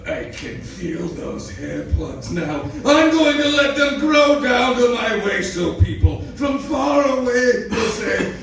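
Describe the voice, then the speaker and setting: High, shrieky bald space villain in echo. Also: male voice, big pitch swings, slow, gravelly, hardly any pauses, a bit bright, full character voice. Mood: gloating. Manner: bombastic, theatrical gloating. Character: vain bald male space villain, gleefully menacing. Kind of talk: comic sci-fi audio drama acting. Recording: very echoey, distant, noisy room recording.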